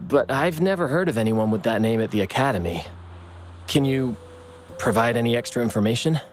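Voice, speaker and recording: A man's voice: mid-range voice, animated, a little fast, soft background music, lightly acted. A man voicing a casual, helpful researcher in a video game. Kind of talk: scripted video game voice acting.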